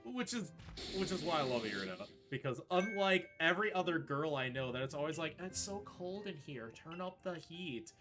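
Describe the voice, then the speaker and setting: A man's voice: on the high side, very animated, moderate pace. A man giving casual live commentary while he plays a video game speedrun. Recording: faint music behind the voice. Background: game music.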